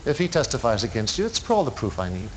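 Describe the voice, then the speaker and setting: An actor playing a charismatic, menacing male cult leader in a game cutscene, speaking in a measured, commanding way. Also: medium pitch, sing-song, a little hiss or hum, lightly acted. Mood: interrogating. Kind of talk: theatrical game cutscene acting.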